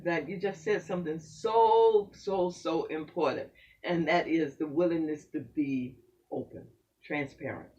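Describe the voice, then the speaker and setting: Older man, host, preacherly, affirming, high voice, distant mic. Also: a little slow, a little mellow, lo-fi sound. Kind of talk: casual faith conversation.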